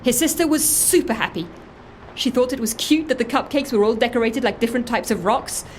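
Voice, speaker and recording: A woman's voice: fairly high pitch, fairly quick, a little hiss or hum, natural voice. An actress playing an earnest, guilt-ridden woman who confesses and justifies herself in a matter-of-fact way, in naturalistic audio drama. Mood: matter-of-fact, confiding. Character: earnest, guilt-ridden woman secretly running a dead man's life. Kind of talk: audio fiction drama acting, naturalistic dialogue.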